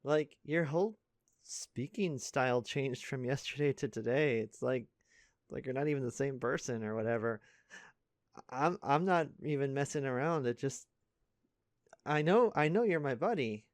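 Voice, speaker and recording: A man playing an earnest, caring high school senior in an intimate audio roleplay, speaking casually and haltingly to a best friend. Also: animated, no room sound, studio quality, close to an everyday voice. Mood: worried, confused. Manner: casual, halting, stammering, conversational.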